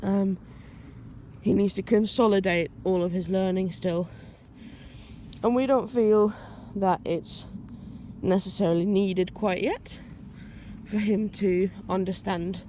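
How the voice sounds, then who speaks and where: Slow-paced woman. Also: mid-range voice, fairly even intonation, dark tone, a little hiss or hum. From Finland. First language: Finnish. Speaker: dog owner and vlogger. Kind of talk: casual vlog talk. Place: outdoors on a walk.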